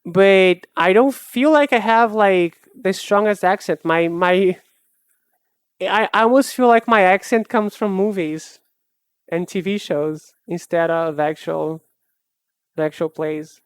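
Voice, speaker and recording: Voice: medium pitch, slow, clean tone, no room sound. Musing streamer with a Brazilian accent. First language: Portuguese. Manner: musing, hesitant, with frequent restarts. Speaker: art streamer. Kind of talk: casual chat answering a live chat.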